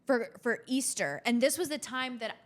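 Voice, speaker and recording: A woman's voice: a little high, expressive, moderate pace. A young adult woman from Chile co-hosting a podcast, telling personal stories in a casual, conversational way. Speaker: podcast co-host.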